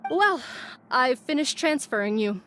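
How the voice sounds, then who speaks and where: A woman voicing a brisk, cheerful young female guard in a video game, polite and a little teasing. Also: high-pitched, expressive, a bit bright, a little hiss or hum.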